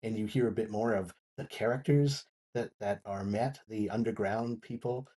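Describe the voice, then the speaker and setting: A man giving an unscripted review as a guest on a talk show, in halting, often repeated phrases. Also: lowish voice, steady tone, warm tone, breathy edge. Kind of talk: unscripted audio drama review.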